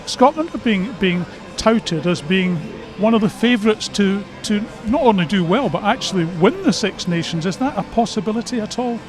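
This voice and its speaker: Guy with a high voice doing a chatty sports radio interview, music playing behind. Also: lively intonation, moderate pace, few pauses.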